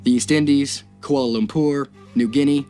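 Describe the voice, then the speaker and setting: An actor voicing a resolute naval commander, narrating in a grave, measured way. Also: male voice, medium pitch, steady tone, warm tone, faint music behind, natural voice. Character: seasoned male naval commander, dry and resolute. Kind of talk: scripted game narration.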